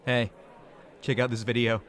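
A man's voice: medium pitch, level tone, normal speed, a bit bright, lightly acted. A man playing a cocky, combative, self-important office worker and conspiracy streamer in an audio comedy drama. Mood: amused, crude.

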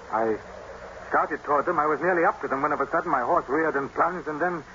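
Earnest country doctor, noisy old radio. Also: male voice, medium pitch, sharp tone. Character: earnest, shaken country doctor bringing grave news. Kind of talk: 1950s radio drama acting. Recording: muffled, noisy vintage radio broadcast.

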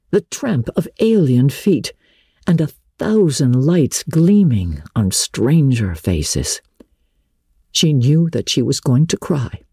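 A woman narrator reads classic fiction with expressive, literary feeling. Her voice is fairly low and mellow, and she takes her time over each phrase. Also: breathy edge, dry recording, studio quality. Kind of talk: dramatic audiobook narration.

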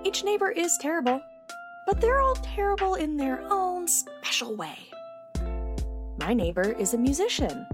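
A woman playing a petty, indignant neighbor in a wry, theatrical yet conversational comic monologue. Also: medium pitch. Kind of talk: comic monologue in audio drama.

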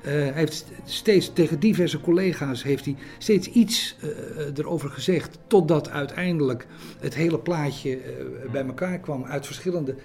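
A man's voice: a little high, moderate pace, darkish tone, faint music behind. A man giving a hesitant interview, searching for words and often repeating himself. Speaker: interviewee recounting theatre history.

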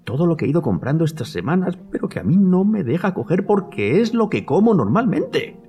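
Audio drama: male actor as an agitated man with a Castilian accent, nervously rambling, very lively pitch, music underneath. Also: fairly quick. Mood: excited, insistent. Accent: Castilian Spanish. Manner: rambling, nervous, repeating himself. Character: agitated man convinced his house hides his favourite food. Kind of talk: Spanish audio drama acting.

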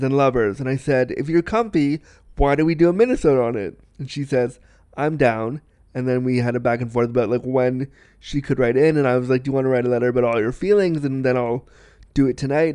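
A man's voice: mid-range voice, darkish tone, clear, resonant tone, dry recording, studio quality. A man hosting a podcast, chatting casually and rambling, sniffly with a cold. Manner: chatty, rambling, repeats himself. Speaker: podcast host. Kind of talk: casual solo podcast chat.

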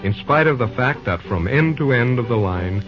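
A man's voice: mid-range voice, lively intonation, moderate pace. Male serial announcer, dramatic rhetorical delivery over faint music, muffled vintage radio sound. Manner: declamatory, rhetorical. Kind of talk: scripted dramatic old-time radio narration. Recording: dull old radio recording.

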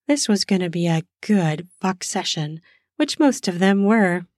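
A woman narrating an erotic audio story in a slow, sensual way, lingering on words for emphasis. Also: lowish voice, lively intonation, darkish tone, no room sound, clean studio sound. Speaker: storyteller, podcast host.

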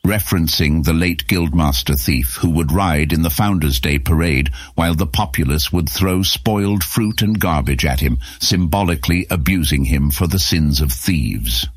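Very deep, husky male storyteller. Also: normal speed, few pauses, dry recording. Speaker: audiobook narrator. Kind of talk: scripted fantasy story narration.

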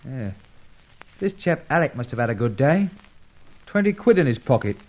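Rough, slangy sidekick played by a man, lively delivery. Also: mid-range voice, normal speed, natural voice. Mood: cheeky, rough. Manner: colloquial, hesitant, plain-spoken. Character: rough, slangy, deferential crook's sidekick, a bit dim. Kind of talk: old radio serial acting. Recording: dull old radio serial recording.

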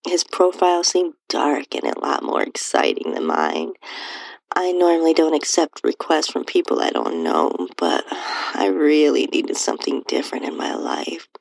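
A woman with a breathy, husky voice reading a first-person erotic story in a soft, intimate, near-whispered delivery. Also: sits low, sing-song, moderate pace, dry recording, low-quality recording. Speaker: podcast host narrating own stories.

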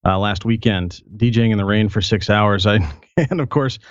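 A man talking as a guest in a casual chat, relaxed and easygoing, his voice still recovering from a sore throat. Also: lowish voice, normal speed, smooth, no echo, highs cut off. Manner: relaxed, easygoing, filler words. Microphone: internet call.

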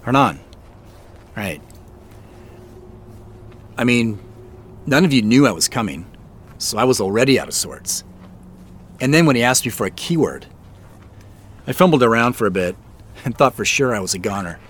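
A man's voice: medium pitch, expressive, moderate pace, long pauses, fairly bright tone. An actor playing an uneasy, self-deprecating businessman in a naturalistic audio drama, talking hesitantly with small chuckles. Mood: wry, chatty. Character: uneasy, wry businessman searching for answers.

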